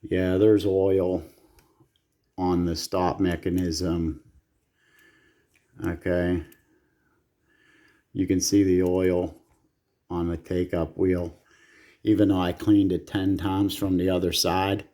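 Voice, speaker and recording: A man's voice: low voice, flat delivery, slow pace, long pauses, warm tone. A man giving casual, hands-on repair commentary in a blunt, salty way, swearing freely as he goes. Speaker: repair video host.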